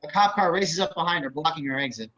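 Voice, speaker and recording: Bright, highish male script narrator. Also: fast. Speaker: narrator of stage directions. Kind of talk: scripted narration at amateur table read. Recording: dull video-call audio.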